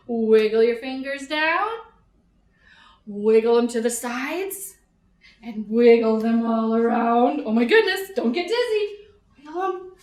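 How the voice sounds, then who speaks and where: Female storytime leader, high-pitched and very slow, animated and encouraging with small children. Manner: animated, sing-song, encouraging, simple short phrases for toddlers. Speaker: children's librarian leading storytime. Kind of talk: toddler storytime, singing-along instruction and read-aloud. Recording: echoey room.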